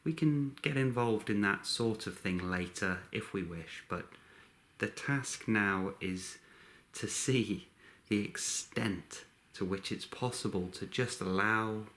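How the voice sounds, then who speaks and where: A man with a fairly low voice guides a meditation. He speaks very slowly in short, measured phrases. Long pauses separate his calm instructions.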